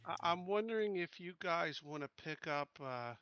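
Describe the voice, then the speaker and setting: A man's voice: fairly high pitch, lively intonation, normal speed, clean tone, no room sound, lo-fi sound. A man chatting casually as a player in a tabletop game over voice chat.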